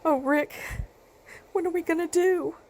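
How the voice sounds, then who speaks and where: Actress as worried mother, high-pitched, very animated intonation, insistent, pleading, emotional, motion comic drama. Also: takes it slowly, a little hiss or hum. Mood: frantic, distraught.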